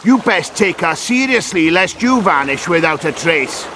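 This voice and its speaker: A man's voice: high-pitched, expressive, normal speed, background noise. An actor playing an indignant common townsman in a fantasy video game, whining, scornful and blunt. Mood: bitter, scornful. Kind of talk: dramatic video game dialogue.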